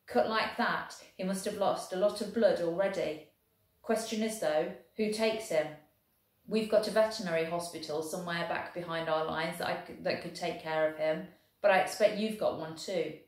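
A woman's voice: fairly low pitch, normal speed, heavy reverb, lightly acted, low-quality recording. A woman reading aloud, voicing a little Welsh soldier with a Welsh accent, earnest and folksy. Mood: practical. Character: little Welsh soldier, warm and plain-spoken.

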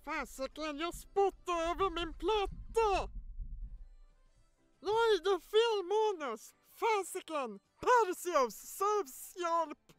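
A very high, exasperated cartoon woman acted out by a woman, foul-mouthed and melodramatic. The delivery is over the top, close and dry, with music behind it. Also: expressive, no room sound. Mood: panicked. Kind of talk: over-the-top fan dub acting with bloopers.

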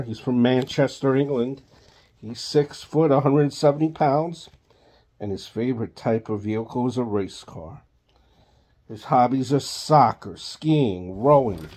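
A man's voice: medium pitch, darkish tone, breathy edge. A man in his thirties, a father reading a children's book aloud at bedtime. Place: small child's bedroom. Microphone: phone held close.

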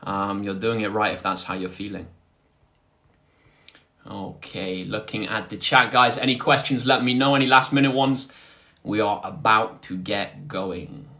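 A man's voice: fairly low pitch, steady tone, unhurried, bright, forceful tone. Casual young British man chatting to viewers. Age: 20s. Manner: informal, chatty, addressing viewers directly. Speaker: maths tutor hosting a livestream. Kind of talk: casual livestream chat, answering viewer questions. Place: indoors, close to a phone on a tripod.

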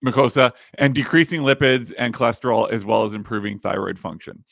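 A man in his thirties, slightly raspy, hosting a casual podcast and explaining things with plenty of fillers, recorded in a home studio. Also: mid-range voice, brisk, a bit bright, dry recording. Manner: explains while screen-sharing, many fillers. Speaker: podcast host. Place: home studio with neon sign.

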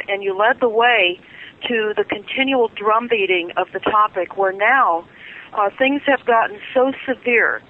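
A woman guest speaking urgently and insistently over the phone on a radio talk show, with frequent filler ums. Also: a little high. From California. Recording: tinny phone line.

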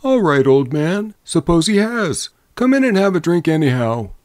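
A man acts out a talkative city salesman for a Western storybook. The voice sits high and excitable, clear and smooth. He fires off questions like a jocular fellow out of his depth. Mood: breezy, jocular. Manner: excitable, rapid-fire questioning. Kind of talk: storybook character voice in a Western tale.

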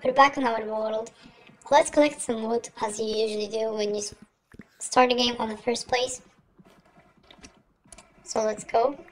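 A man's voice: steady tone, measured pace, pauses often, a bit bright, full character voice, poor audio. A young man voicing a little girl through a real-time voice changer, giving casual game commentary. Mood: playful, relaxed. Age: young adult. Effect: real-time pitch-raising voice changer.

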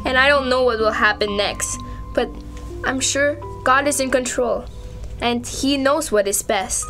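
Woman acting bright, high-voiced, resolute prisoner. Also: measured pace, faint music behind. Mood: calm, earnest. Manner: earnest, resolute. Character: calm, devout, unafraid condemned woman prisoner. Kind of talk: amateur student radio drama acting.

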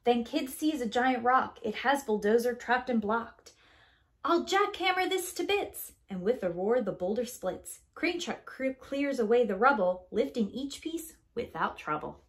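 A woman in her thirties reading a rhyming picture book aloud to young children in an expressive, deliberate storytelling manner. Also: on the high side. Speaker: preschool teacher. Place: small indoor room with bookshelves.

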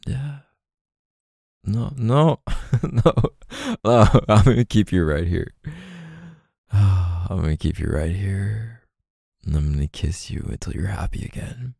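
A man playing a gentle, apologetic, doting boyfriend in an intimate ASMR roleplay, speaking tenderly and haltingly close to the mic. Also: sits low, animated, vocal fry, husky, dry recording, exaggerated voice. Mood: affectionate, playful. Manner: tender, halting, sighing between phrases. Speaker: boyfriend roleplay audio performer.

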